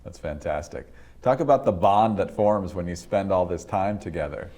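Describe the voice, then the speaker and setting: A man's voice: sits low, animated, normal speed, warm tone. A man interviewing a guest, giving short affirmations and then asking the next question. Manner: brief affirmations before each question.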